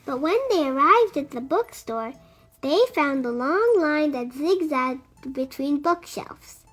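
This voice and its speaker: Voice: sits high, expressive, slow, a bit bright, soft background music. A storybook reader narrating a picture book expressively for young children.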